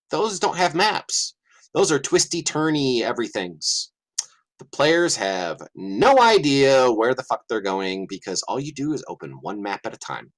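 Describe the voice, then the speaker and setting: Middle-aged guy on a stream call, voice jumps all over, swears casually while clicking around. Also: fairly high pitch. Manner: lively, swears casually, mutters while searching his screen. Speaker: guest game master and adventure writer. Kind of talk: casual livestream chat.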